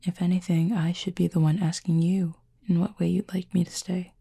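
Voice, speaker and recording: A woman playing an injured fallen cupid in an intimate roleplay audio, soft and breathy, with a formal, measured, dryly aloof but curious manner. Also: fairly low pitch, little pitch movement. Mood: wry, reserved. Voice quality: soft, breathy, husky with fry. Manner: measured, formal, wordy phrasing.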